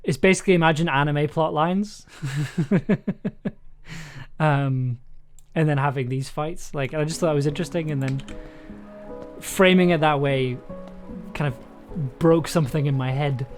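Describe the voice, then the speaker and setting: A man's voice: on the high side, a little slow, faint music behind. A man in his thirties thinking aloud in casual, chatty live commentary as he edits audio on a stream. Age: 30s. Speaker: streamer and audio drama editor.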